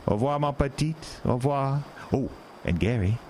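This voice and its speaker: A man's voice: mid-range voice, animated, normal speed, darkish tone, a little hiss or hum, close to an everyday voice. A man playing a menacing carnival showman who drops bits of French in a horror radio drama. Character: sinister male carnival showman.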